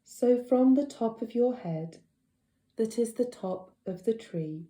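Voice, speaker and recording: A woman leading a guided relaxation as a yoga nidra teacher, speaking gently and soothingly with unhurried pauses. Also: fairly high pitch, lively intonation, reverberant.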